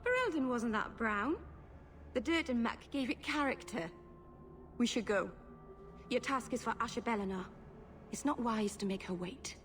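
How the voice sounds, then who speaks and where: A young elven woman mage in a fantasy game, with a fairly high voice. She is earnest and nervous, hesitant and quick to apologise and correct herself, with music behind her. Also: lively intonation, fairly bright tone. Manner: rambling, hesitant, self-correcting. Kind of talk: fantasy video game acting.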